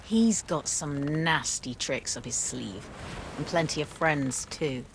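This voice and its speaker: An actress playing a gruff, no-nonsense female shipwright who talks bluntly and dismissively in a game cutscene. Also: low voice, slow pace, noisy background. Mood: brusque. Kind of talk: video game cutscene voice acting.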